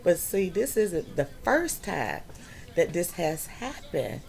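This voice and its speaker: A middle-aged woman talks to her channel from home in a slow, unhurried way, often pausing with fillers. Her voice sits a little low, with a gravelly, creaky edge. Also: sing-song, faint music behind. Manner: slow, drawn-out storytelling with many fillers. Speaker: vlogger. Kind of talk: personal health story vlog.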